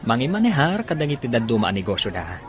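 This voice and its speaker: A noisy recording carries a nosy, flirtatious cousin , acted by a man in a radio drama. He speaks in a medium-pitched voice with an Ilocano accent, playing the curious manager type. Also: very animated, fast talker, a faint crowd, natural voice. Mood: flirtatious, awkward.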